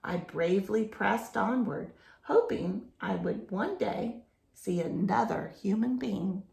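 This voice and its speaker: A woman reading a picture book aloud to children in an expressive, explanatory storytelling manner. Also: medium pitch, takes it slowly, gravelly, echoey room. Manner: explains to young listeners with simple questions and expressive emphasis.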